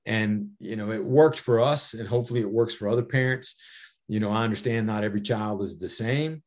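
A man's voice: lowish voice, fairly quick, a little mellow. A middle-aged man with an American accent answering interview questions conversationally, explaining things with personal anecdotes and often saying "you know". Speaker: podcast guest, safety author and former federal air marshal.

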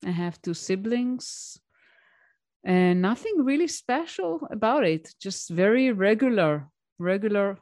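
A woman's voice: medium pitch, lively intonation, a little slow, a little mellow, dry recording, studio quality. A woman, answering interview questions in a plain, reflective way over a remote call. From Israel. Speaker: guest, tech mentor.

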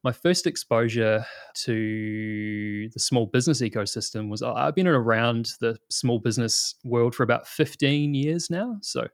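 A man's voice: medium pitch, a little slow, no room sound. New Zealand man, dry close sound. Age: 30s. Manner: relaxed and rambling, with many fillers and restarts. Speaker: guest, product manager. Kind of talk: casual interview talk.

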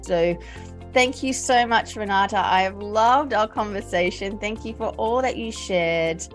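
A woman's voice: medium pitch, unhurried, soft background music. A woman podcast host interviewing a guest, reflecting back what she heard in an affirming way.

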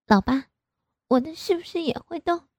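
A woman's voice: very high-pitched, animated, moderate pace, darkish tone, dry recording, clean studio sound, voice pushed up. A woman voicing a pouty, coy young married woman who wheedles in a raised voice. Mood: wheedling. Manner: pouting, wheedling.

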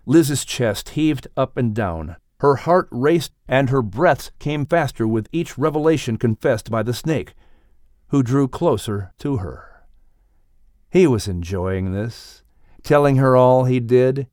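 A male narrator reads a dramatic animal tale for an audiobook. His pace is slow and measured, and the recording is dry and close, with little room around the voice. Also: medium pitch, animated, a little mellow, dry recording. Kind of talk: scripted dramatic storytelling narration.

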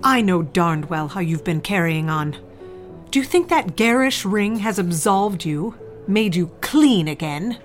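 A woman's voice: lowish voice, animated, a little slow, soft background music. A woman playing a cold, disapproving older society mother in an audio drama, haughty and clipped in manner. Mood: scornful.

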